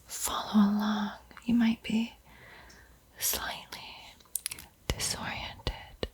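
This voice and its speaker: A woman whispering softly and breathily in a soothing, playful ASMR roleplay as she guides a painting tutorial. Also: medium pitch, level tone. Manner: slow, soothing, playfully teasing. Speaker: art tutorial host.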